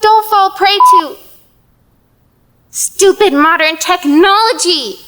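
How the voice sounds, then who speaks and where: A woman's voice: extremely high pitch, slow, bright, forceful tone, full character voice. A woman playing a fretful, theatrical older lady who scolds and implores in an audio play. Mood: grave, imploring. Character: fretful, melodramatic older Mrs. Lee, pleading with gods.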